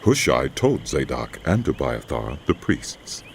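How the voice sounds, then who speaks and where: Deep, gravelly male Bible narrator. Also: big pitch swings, measured pace, a little hiss or hum. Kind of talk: scripted dramatic Bible narration.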